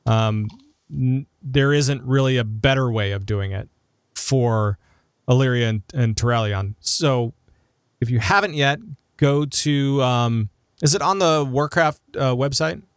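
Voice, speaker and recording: Smooth-voiced man hosting, close and dry. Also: mid-range voice, no room sound. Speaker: podcast host. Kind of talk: casual gaming news discussion.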